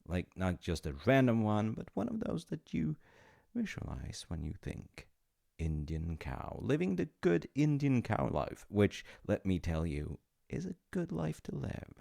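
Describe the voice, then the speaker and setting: Man playing a gravelly, animated, chummy afterlife agent. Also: on the low side, measured pace, exaggerated voice. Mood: upbeat pitching. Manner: informal salesman-like patter with hesitations and chuckles. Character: chummy, rule-bending afterlife reincarnation agent, male supernatural being. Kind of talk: second-person comedic audio roleplay.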